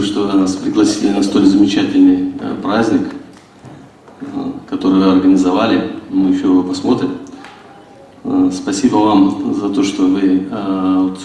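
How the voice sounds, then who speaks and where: A man with a very low, mellow voice gives a formal thank-you, stopping often on filler sounds. The room echoes heavily around him. Faint noise sits underneath. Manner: halting, many filler sounds. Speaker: company representative giving a speech. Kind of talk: formal welcome speech. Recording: echoey hall, distant mic, faint noise.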